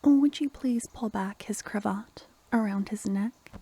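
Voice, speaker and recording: Girl doing a soft breathy necromancer lady, doting n anxious, slow and mellow, asmr fantasy roleplay. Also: mid-range voice, no room sound. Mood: sorrowful, tender. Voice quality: soft, breathy, half-whispered. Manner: gentle, affectionate, addressing the listener intimately. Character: sweet, caring young female court necromancer, doting and anxious.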